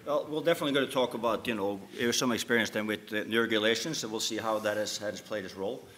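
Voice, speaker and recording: Bright-voiced man on a panel. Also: on the low side, fast. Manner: repeats words as he restarts. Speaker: conference panelist. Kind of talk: panel discussion answer. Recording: echoey conference hall.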